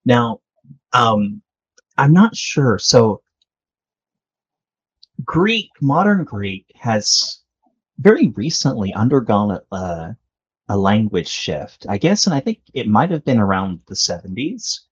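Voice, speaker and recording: A man hosts a casual podcast chat, hesitating often and filling pauses. His voice sits low and is a little husky. The sound is muffled and lo-fi. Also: sing-song. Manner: hesitant, many fillers. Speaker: podcast host. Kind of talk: casual interview. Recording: dull lo-fi call audio.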